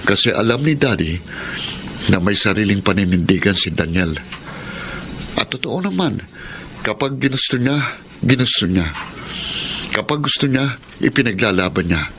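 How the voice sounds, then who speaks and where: A man with a Filipino accent playing a gentle, resigned, obedient elder son in a radio drama. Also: fairly low pitch, lively intonation, normal speed, pauses often, noisy background. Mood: wistful, resigned.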